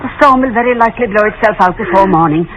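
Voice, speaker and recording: Actress as firm, protective aunt, muffled radio. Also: female voice, mid-range voice, quick pace, natural voice. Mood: soothing. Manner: commanding, soothing. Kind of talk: 1940s radio drama acting. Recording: dull, noisy old radio recording.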